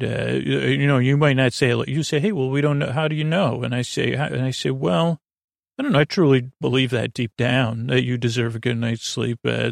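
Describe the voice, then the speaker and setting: Creaky-voiced man rambling gently as podcast host. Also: mid-range voice, no echo, studio quality. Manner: meandering, halting, goofy, reassuring. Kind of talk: rambling, casual bedtime podcast talk.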